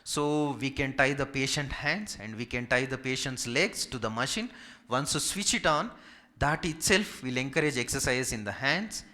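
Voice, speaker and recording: A man's voice: mid-range voice, moderate pace, sharp tone. A man from Hyderabad with a Telugu Indian accent, a native Telugu speaker, giving an informal medical talk as a physiotherapist, polite, repetitive and emphatic when listing. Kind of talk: informal medical presentation with audience questions.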